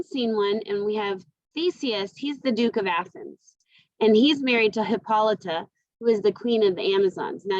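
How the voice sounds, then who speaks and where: A woman's voice: mid-range voice, dry recording, dull audio. A woman teacher explaining a lesson informally, talking directly to her students in a conversational way, recorded on a computer microphone.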